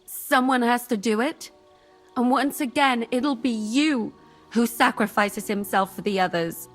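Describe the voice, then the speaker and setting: Actress as a slow-speaking, wounded medieval woman. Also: fairly high pitch, faint music behind, natural voice. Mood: bitter, weary. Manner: sighing, weary, emotional. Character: wounded, bitter young medieval woman, deeply attached. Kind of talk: dramatic game cutscene acting.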